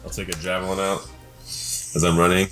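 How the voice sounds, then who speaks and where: A man's voice: low voice, little pitch movement, a little fast, over loud music. A man playing a tabletop game with casual banter. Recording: noticeable background noise with clicks.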